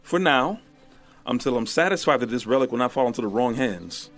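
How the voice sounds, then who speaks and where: A man's voice: medium pitch, expressive, fairly quick, a bit bright, soft background music. A man playing an earnest, exasperated priest in a fantasy audio drama, with a dry, disapproving, solemn delivery. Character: earnest, exasperated young male priest on pilgrimage.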